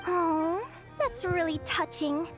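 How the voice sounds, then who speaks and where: High, girlish game heroine, curious and adventurous, earnest storyteller, music underneath. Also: takes it slowly, exaggerated voice. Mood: thoughtful. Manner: earnest, expressive, wistful storytelling. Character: curious, adventurous girl raised by fae, earnest and bright-eyed. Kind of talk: video game character acting.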